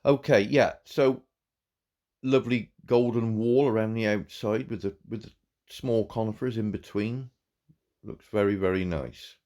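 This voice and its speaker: Croaky low-voiced guy with a cold, stumbling over words while reviewing a game farm. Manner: halting, repeats words, warm praise. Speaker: farm game channel host. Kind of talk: casual gameplay commentary.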